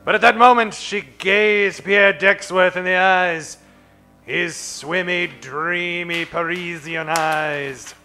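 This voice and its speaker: A man's voice: high-pitched, fairly even intonation, takes it slowly, sharp tone, faint music behind. A man narrating a comic radio play in a dramatic scripted style.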